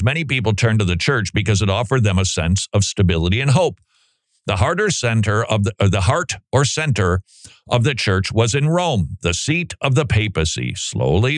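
A man's voice: mid-range voice, no room sound, clean studio sound. A male commentary host reading a text aloud and reacting with sarcastic, incredulous asides.

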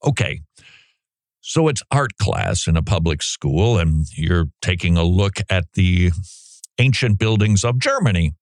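A man hosting a radio talk show, delivering animated, mocking commentary and reading passages aloud. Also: low-pitched, measured pace, dry recording, clean studio sound. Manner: animated, mocking, sarcastic asides.

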